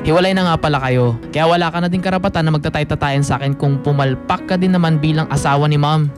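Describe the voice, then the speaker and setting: A man's voice: on the high side, fast, natural voice. Radio drama: resentful grown son, male actor, early twenties, curt, code-switching, defiant, light music bed. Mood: defiant, bitter. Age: young adult. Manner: curt, mixes English into Filipino.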